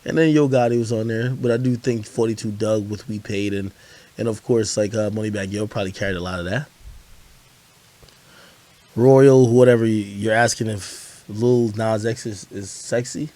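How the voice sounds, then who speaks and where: A man's voice: on the low side, steady tone, normal speed, dark tone. A man streaming hip-hop commentary, talking in loose, profane slang and often repeating phrases as he answers viewers. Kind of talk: casual livestream chat answering viewer questions.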